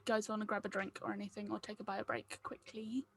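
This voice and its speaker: A woman's voice: medium pitch, level tone, fairly quick, vocal fry, slight background noise. A woman chatting casually on a group call as the writer leading a table read, jokey and self-deprecating. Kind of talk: casual video call chat.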